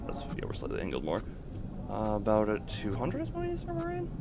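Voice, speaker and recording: A man's voice: on the low side, huge pitch swings, brisk, very noisy. A man hosting a game livestream, chatting casually and rambling as he thinks aloud while playing. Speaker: game streamer, host. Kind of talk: casual livestream chat with gameplay commentary.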